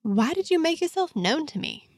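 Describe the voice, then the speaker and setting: A woman's voice: high-pitched, very animated, normal speed, dry recording, clean studio sound, pitched above the performer's own voice. A woman voicing a guarded, easily annoyed young heroine who prods for answers in an audio story. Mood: curious. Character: guarded, indignant woman, daughter of a powerful father.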